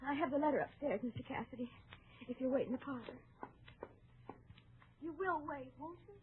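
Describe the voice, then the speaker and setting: A woman's voice: high voice, a little fast, lightly acted. An actress playing a desperate young woman pleading in an old-time radio western. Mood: anxious. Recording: muffled, noisy vintage radio recording.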